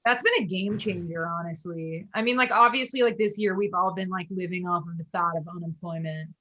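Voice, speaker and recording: A woman who leads a band, chatting casually as a podcast guest, rambling with lots of "like" fillers and quick laughs. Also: fairly low pitch, pushed and bright. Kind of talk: casual chat.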